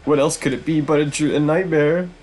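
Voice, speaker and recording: A man voicing a cowardly bishop in a panic, stammering and pleading for his life in a shrill voice. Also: a little slow, a little hiss or hum, close to an everyday voice. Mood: disbelieving panic.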